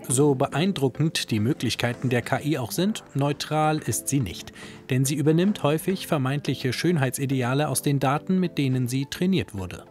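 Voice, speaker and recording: Man, mid-pitched voice, scripted reporter voice-over, faint music underneath. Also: animated, normal speed, darkish tone. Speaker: reporter narrator. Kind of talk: scripted news magazine narration.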